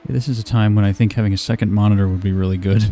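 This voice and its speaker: A man with a quiet voice, streaming a sim race and chatting casually in a low-key, mumbly way while he tests his mic. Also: steady tone, very fast, a little hiss or hum.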